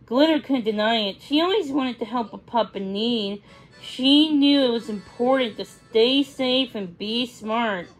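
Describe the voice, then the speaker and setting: A woman reading a children's story aloud as a read-along storyteller, in a halting, word-by-word way. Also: fairly high pitch, faint music behind, low-quality recording.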